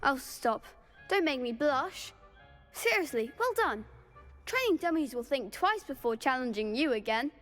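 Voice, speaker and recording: Eager high-voiced schoolboy, echoing hall. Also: female voice, expressive, unhurried, a bit bright, faint music behind. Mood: eager, admiring. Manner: eager, friendly. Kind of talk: video game voice acting. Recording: reverberant hall.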